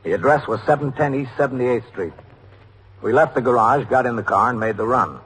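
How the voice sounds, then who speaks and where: An actor playing an authoritative police captain who narrates in a measured, factual way in a 1950s radio drama. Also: male voice, mid-range voice, fairly bright tone, husky. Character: authoritative, methodical male police captain narrating his shift. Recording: faintly noisy old radio recording.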